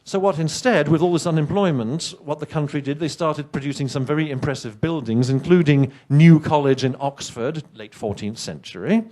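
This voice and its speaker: A middle-aged man from England with an English accent, not a native speaker of English, giving a lively, chatty science presentation to an audience with wry asides. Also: on the high side, moderate pace, hardly any pauses. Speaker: conference speaker.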